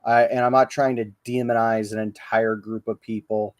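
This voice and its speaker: A man's voice: fairly low pitch, level tone, moderate pace, no echo, studio quality. A man hosting a casual livestream podcast, rambling and profane, with many ums and restarts. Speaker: podcast host. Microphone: livestream podcast mic.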